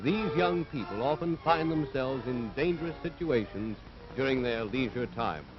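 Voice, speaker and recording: A man's voice: mid-range voice, lively intonation, moderate pace, over music. An American man delivering scripted 1940s propaganda film narration in an earnest, authoritative, moralizing manner. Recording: dull vintage 1940s film soundtrack.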